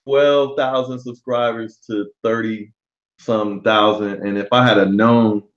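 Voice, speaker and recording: A man in his forties hosting a casual livestream chat, relaxed and often pausing on um. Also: fairly low pitch, dull audio. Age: 40s. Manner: relaxed, frequent um fillers. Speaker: livestream host. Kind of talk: casual live-stream chat.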